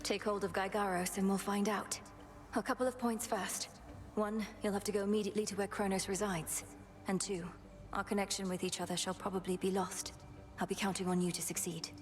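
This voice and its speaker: An actress playing a determined, fierce young sorceress princess in a video game, speaking in formal, archaic, earnest phrases. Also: female voice, medium pitch, little pitch movement, brisk, lightly acted. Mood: calm resolve. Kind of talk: dramatic video game acting.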